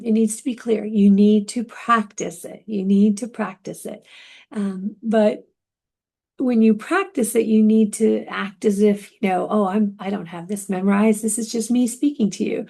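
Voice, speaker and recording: A woman teaching a recorded class. She has a mellow, relaxed tone with a gravelly edge, and she talks conversationally, filling gaps with ums and restarting phrases. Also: medium pitch. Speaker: instructor.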